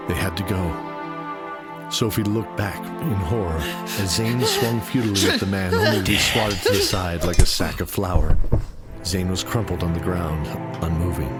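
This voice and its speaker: A man narrating a scripted audio drama in a dramatic storytelling style, with music behind him. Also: sits low. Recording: loud music bed behind.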